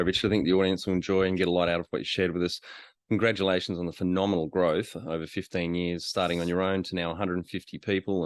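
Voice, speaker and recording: A man's voice: sits low, dry recording. A man who hosts a podcast, asking questions and giving brief, friendly interjections. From Australia. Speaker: podcast host.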